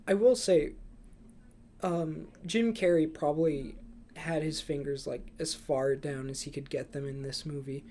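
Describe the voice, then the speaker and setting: A man's voice: a little high, steady tone, unhurried, mellow. A young man talking casually as a podcast host about films, in an informal conversational style. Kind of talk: casual movie chat. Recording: clear background room noise.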